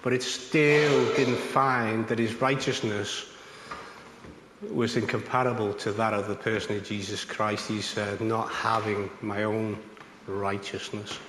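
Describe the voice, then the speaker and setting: A man preaching an informal sermon in a rambling, conversational way, his voice a little croaky. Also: on the low side, fairly even intonation, measured pace, a bit bright, reverberant, long reverb tail, a little hiss or hum, rough recording. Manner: conversational, rambling with many fillers. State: slightly croaky voice.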